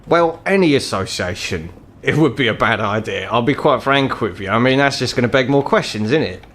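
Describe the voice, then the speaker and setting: A man plays a gruff, ageing troll who works as an underworld fixer in a tabletop game. He is British, blunt and foul-mouthed, and speaks like someone bitter and tired of living in the gutter. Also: mid-range voice, expressive, brisk, a bit bright, a little hiss or hum, lightly acted. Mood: wary, irritated. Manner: blunt, sweary, world-weary.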